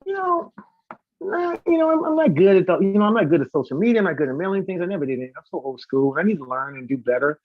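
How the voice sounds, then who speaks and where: A man who sings and teaches voice, talking as a guest in a casual live interview, telling stories in an animated way and acting out what people say. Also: high voice, fast talker, no echo, narrow, tinny audio. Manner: talkative, animated storytelling with acted-out quotes and demonstrations.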